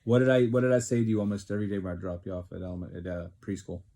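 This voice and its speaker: A man's voice: low-pitched, racing, mellow. A man hosting a casual chat with his daughter, teasing and joking with many fillers. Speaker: podcast host and father.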